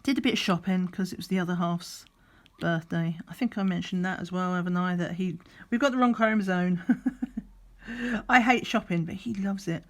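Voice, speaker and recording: A middle-aged woman, slightly croaky, chatting in a rambling way while doing a craft, sometimes counting under her breath. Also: fairly low pitch, fairly even intonation, normal speed. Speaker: crafting vlogger. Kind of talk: relaxed chatty craft vlog. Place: at a craft table indoors.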